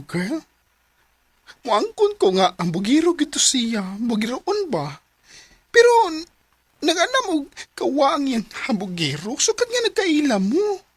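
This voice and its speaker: Very high, swooping, lively young Cebuano woman. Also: no room sound, exaggerated voice. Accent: Cebuano Filipino. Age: young adult. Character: lively, coaxing young girlfriend and confidante. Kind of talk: radio drama acting.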